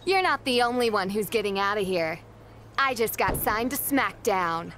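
A woman's voice: mid-range voice, animated, normal speed, fairly bright tone, slight background noise, lightly acted. A woman voicing a cold, dismissive rival wrestler in a video game. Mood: snide.

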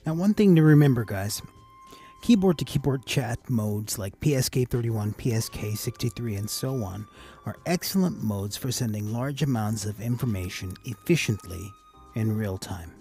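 A man with a gravelly, husky voice giving a casual, explanatory tutorial voice-over as the host of a hobby channel. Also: fairly low pitch, animated, moderate pace, mellow, faint music behind. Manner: casual, addresses viewers as guys.

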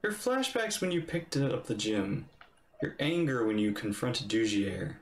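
A man's voice: very animated, unhurried, slight background noise. A man in his thirties making casual, musing reactions to a game on a livestream. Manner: low-key, musing. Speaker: reaction streamer. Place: indoor livestream setup. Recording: echoey room sound. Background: faint game music.

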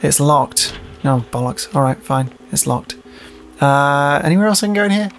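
Gravelly man chatting through a game. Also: on the high side, lively intonation, normal speed, faint music behind. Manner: chatty, jokey, sweary, mutters to himself while playing. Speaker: gaming show host, playing the game. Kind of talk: casual let's-play commentary.

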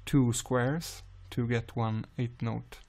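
A man with a Swedish accent calmly explaining music software in a screen-recorded tutorial, pausing often with "uh". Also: medium pitch. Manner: calm, unhurried explaining with frequent "uh" pauses.

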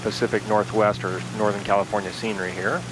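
A man with a fairly low voice narrates his home-cockpit flight in a relaxed, step-by-step way. He speaks into a headset mic. A steady engine drone sits clearly under his voice. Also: fast, background noise. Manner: relaxed, talking through each step aloud. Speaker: flight sim video host. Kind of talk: casual instructional narration while flying. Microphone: aviation headset.

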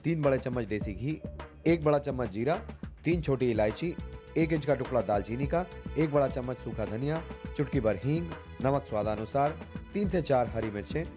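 A man reading out a recipe as a scripted voice-over, in an even, measured way. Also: mid-range voice. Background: music.

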